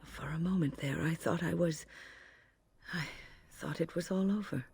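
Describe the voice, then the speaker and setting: An actress playing a composed, wise older female mage in a video game, measured, formal and gently wry. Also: low voice, vocal fry, a little hiss or hum. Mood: shaken, grave.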